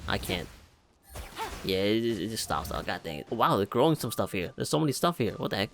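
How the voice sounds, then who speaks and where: A man's voice: lowish voice, big pitch swings, fast talker, slight background noise. A young man giving casual, self-mocking reaction commentary while playing a video game, often exclaiming. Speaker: gaming YouTuber. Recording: faint game music behind. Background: video game music.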